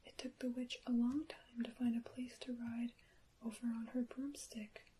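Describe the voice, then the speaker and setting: A woman reading a bedtime story for ASMR in a soft, breathy, careful whisper. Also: fairly high pitch, flat delivery, normal speed.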